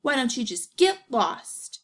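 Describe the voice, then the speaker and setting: A woman's voice: high voice, sing-song, slow, fairly bright tone, heavily acted. A woman playing a grumpy, put-upon young schoolboy in a children's storybook. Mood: annoyed.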